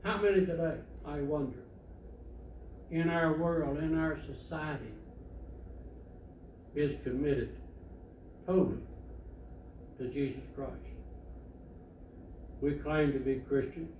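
An older man delivers a sermon from the pulpit, telling stories in a plain, conversational way. His voice sits fairly high for a man. It is picked up by a distant camera and echoes around the church sanctuary. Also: slow pace, long pauses, darkish tone, dull audio. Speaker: preacher. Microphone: far camera. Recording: echoey church from far off, faint hiss.

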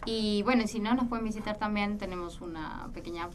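A woman from Salta speaks with an Argentine accent as a guest in a radio studio, pausing often and restarting her sentences. Her pitch sits in a middle range. She is picked up on a distant mic with faint noise behind her. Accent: Argentine Spanish. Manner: hesitant, many fillers and restarts. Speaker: guest guitarist. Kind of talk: casual radio interview.

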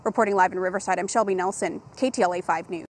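A woman field reporter delivering a live TV news report outdoors with a handheld microphone, in a measured, clear broadcast manner. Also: lowish voice, steady tone, no room sound. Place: outdoors at a rehab facility at dusk. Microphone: handheld station mic.

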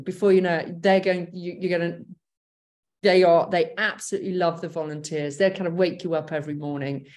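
A woman's voice: fairly low pitch, darkish tone. Chatty woman on a video call. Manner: chatty, enthusiastic, many fillers and restarts. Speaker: gap year program briefer. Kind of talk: online briefing. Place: home office, indoors.

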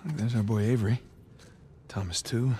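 A man's voice: lowish voice, steady tone, moderate pace, dark tone, slight background noise, lightly acted. An actor playing a wry, easygoing treasure hunter in his thirties, speaking casually with an American accent. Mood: curious, wry. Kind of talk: naturalistic video game acting.

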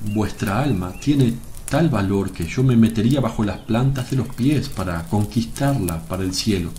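Guy playing a patient solemn rabbi in a radio play, lowish voice, bit of background noise. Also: a little mellow, natural voice. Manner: solemn, measured. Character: gentle, grave male rabbi and healer, patient, pleading. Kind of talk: Spanish radio drama acting.

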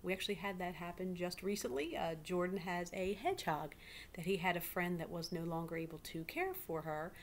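Lower-pitched woman reading aloud. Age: middle-aged. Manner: expressive reading, pausing to prompt listeners. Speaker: teacher reading a picture book aloud to pupils. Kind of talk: read-aloud storytelling with questions to children. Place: small living room indoors. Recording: clearly noisy home webcam recording.

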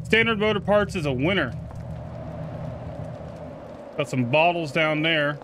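A man giving casual first-look game commentary, excitable and sweary, reacting aloud and chatting to his viewers. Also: a little high, measured pace, background noise. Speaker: gaming video host.

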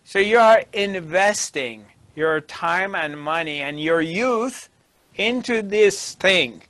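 A middle-aged man giving a spiritual discourse as a teacher, emphatic and deliberate, with pauses. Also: high voice, animated, a bit bright, dry recording. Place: small dark indoor studio. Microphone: lapel mic.

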